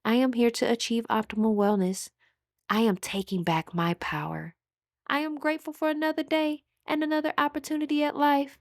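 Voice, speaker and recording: A woman leading a scripted guided meditation as an instructor, with a measured, soothing, instructional delivery. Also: a little high, fairly even intonation, no echo, clean studio sound.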